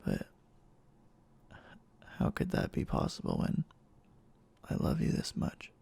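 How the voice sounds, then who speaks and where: A man playing a clingy, obsessive, lovestruck boyfriend in an intimate ASMR roleplay, speaking in a halting, tender way with a breathy voice that often drops near a whisper. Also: dark tone, lightly acted. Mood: anxious, apologetic, pleading. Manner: halting, pleading, tender endearments.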